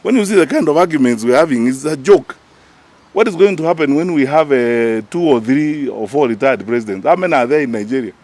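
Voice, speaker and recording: A man's voice: mid-range voice, expressive, brisk, a little mellow. A man with a Kenyan accent speaking off the cuff as a party official at a press conference, emphatic and indignant, often putting rhetorical questions.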